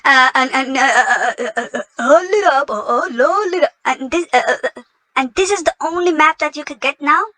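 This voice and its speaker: Host woman, high bright voice, dry close sound, scornful reaction commentary. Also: lively intonation, moderate pace, dry recording. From Somalia. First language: not English. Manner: indignant, mocking. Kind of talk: reacting to a clip.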